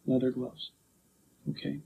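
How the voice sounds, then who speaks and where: A man working as a police detective, questioning a witness in a calm, methodical way, heard on an archival interview recording. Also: unhurried, narrow, tinny audio.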